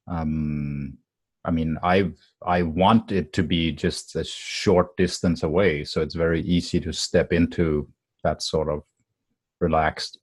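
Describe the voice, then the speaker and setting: A man with a very deep, husky voice speaks slowly and hesitantly. He searches for words as he thinks aloud, and the recording is dry and close. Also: no room sound. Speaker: discussion participant. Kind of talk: reflective group conversation.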